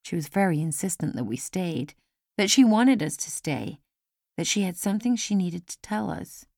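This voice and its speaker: A woman's voice: fairly low pitch, lively intonation, normal speed, vocal fry, a little mellow, no echo, clean studio sound. A woman reading a first-person story as scripted audiobook narration. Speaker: audiobook reader. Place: dry studio.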